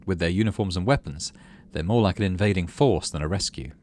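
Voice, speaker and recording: A man reading a game's story script aloud as expressive narration for a gaming channel. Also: low voice, fairly quick, a little hiss or hum.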